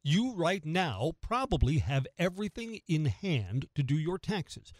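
A middle-aged American man hosting morning radio, giving opinion commentary in a conversational, emphatic way with pointed pauses. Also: fairly high pitch, big pitch swings, darkish tone, no room sound, clean studio sound. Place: close, dry radio studio.

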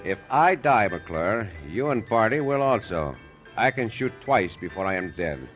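A man's voice: lowish voice, big pitch swings, unhurried, fairly bright tone, soft background music. A man playing a bold, suave Mexican gunslinger hero in an old-time radio western, formal and confident, with a Mexican accent. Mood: steely calm.

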